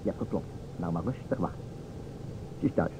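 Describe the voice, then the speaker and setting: A man's voice: low-pitched, expressive, fairly quick. An actor playing a playful, musing man who improvises scenes for a detective game in an old radio play, speaking hesitantly. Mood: playful, busy. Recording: dull old radio recording, noisy.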